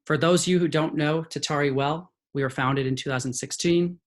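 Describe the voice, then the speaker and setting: A man's voice: fairly high pitch, fairly even intonation, fairly quick. A man, head of sales, presenting a webinar in an upbeat, polished way over a video call.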